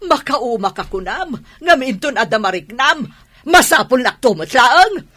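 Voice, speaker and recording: A woman acting a sharp, scolding jealous wife. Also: fairly high pitch, very animated, a little slow, husky, heavily acted. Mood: nagging, accusing. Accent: Ilocano. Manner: scolding, sarcastic. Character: jealous, nagging, suspicious wife of a philandering husband. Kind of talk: Ilocano radio drama acting.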